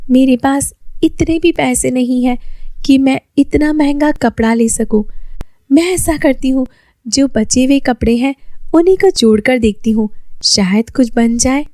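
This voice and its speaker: A woman voicing a kind, humble, poor young seamstress girl in a children's story, with an earnest, expressive manner. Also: sits high, a little slow, dry recording, pitched above the performer's own voice. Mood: wondering.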